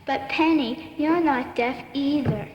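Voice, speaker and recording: A woman voicing a deaf girl puppet who is calm, teasing and matter-of-fact. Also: high voice, muffled recording. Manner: slow, wry, matter-of-fact. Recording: echoey hall with long reverb, noisy.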